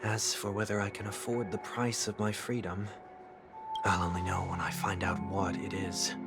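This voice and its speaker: A man's voice: low voice, flat delivery, moderate pace, close to an everyday voice. An actor playing a courteous, solemn and principled young man, speaking formally and eloquently in a video game. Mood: calm resolve. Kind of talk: scripted video game voice acting. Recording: loud music behind the voice.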